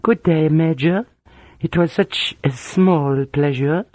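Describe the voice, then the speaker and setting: Casting note: sly, teasing female spy voiced by a man, slow, dark and mellow, dry close sound. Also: fairly high pitch, no echo, pitched above the performer's own voice. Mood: mocking. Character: scheming seductive woman spy, mocking.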